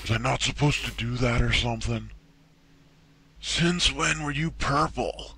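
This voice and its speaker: Man as a raspy, slow, spaced-out slacker. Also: medium pitch, sharp tone, exaggerated voice. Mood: dazed, goofy. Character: spaced-out male slacker stalker, goofy, dude-talking. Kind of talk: amateur anime parody dub.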